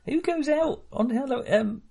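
A man's voice: extremely high pitch, animated, unhurried, creaky, mellow. A man co-hosting a film podcast in casual discussion, talking hesitantly, often interrupting himself and rambling.

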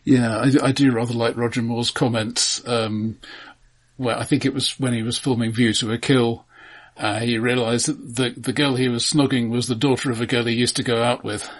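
Husky-edged man presenting film countdown. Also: mid-range voice, little pitch movement, moderate pace. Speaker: film discussion podcast co-host. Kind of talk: casual chat, presenting a countdown.

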